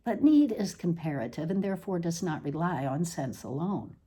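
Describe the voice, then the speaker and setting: A woman with a fairly low, soft-edged, mellow voice reads a literary essay aloud. Her phrasing is measured and deliberate, broken by pointed pauses. The melody moves widely, giving the scripted prose a lively contour. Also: slightly rough. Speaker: reader.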